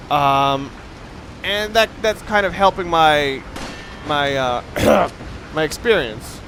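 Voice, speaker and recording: A man's voice: a little high, a bit bright, slight background noise. A young man giving casual, rambling commentary over a video game, often breaking off mid-sentence and swearing now and then. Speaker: let's play commentator.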